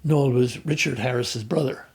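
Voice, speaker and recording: Gravelly older Irish man, reminiscing. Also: medium pitch, normal speed. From Ireland. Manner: hesitant, with many restarts and fillers. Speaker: interviewee. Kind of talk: reflective conversational storytelling.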